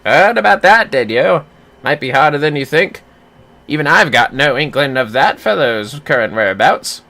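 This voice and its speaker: A streamer voicing a gruff, wry male informant from a video game, with rough colloquial talk. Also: medium pitch, lively intonation, normal speed, pushed and bright, pitched below the performer's own voice. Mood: wry, guarded.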